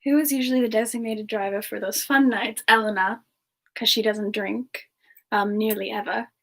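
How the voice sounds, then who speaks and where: A woman playing a sweet, chatty young female demon, talking gently and hesitantly in a casual semi-ASMR live chat. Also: a little high, steady tone. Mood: gentle, friendly. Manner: gentle, hesitant, pausing often mid-sentence. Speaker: host of a live Q&A stream.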